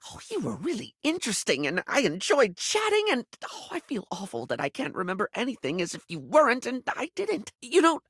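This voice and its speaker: A camp, gushing male video game character who lives for cosmetics. His pitch swoops dramatically through every phrase, recorded close and dry. He is effusive yet insecure, stumbling into apologies and self-deprecation. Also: fairly bright tone, dry recording, exaggerated voice. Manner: effusive, camp, halting and self-deprecating, calls listeners darling. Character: flamboyant, scatterbrained, apologetic male makeup object, gushing and insecure.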